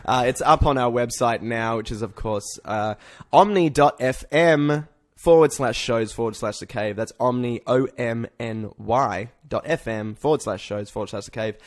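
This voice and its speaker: He is a man with an average-pitched voice, hosting a comedy chat podcast. He talks informally, as if with a friend. His banter is jokey and loosely sweary. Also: moderate pace. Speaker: podcast host. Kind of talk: casual comedic pop culture podcast chat.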